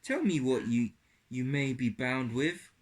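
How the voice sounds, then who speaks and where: A man's voice: mid-range voice, expressive, a little slow. A man voicing a coaxing, scheming, pleading woman in a children's Bible puppet story. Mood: wounded, nagging.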